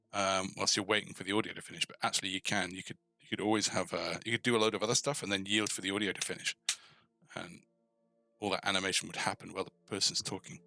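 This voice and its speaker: A man hosting a casual live coding tutorial, thinking aloud informally and tentatively, often pausing mid-thought as he works. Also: fairly low pitch, creaky, pushed and bright, no echo. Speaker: host of a coding tutorial stream.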